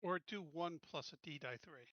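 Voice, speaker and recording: A man's voice: a little high, moderate pace, dry recording, muffled recording. A man taking part as a player in an online tabletop game, talking casually.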